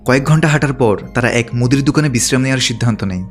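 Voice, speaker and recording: A man's voice: mid-range voice, racing, faint music behind. A man reading a scripted story recap as a narrator.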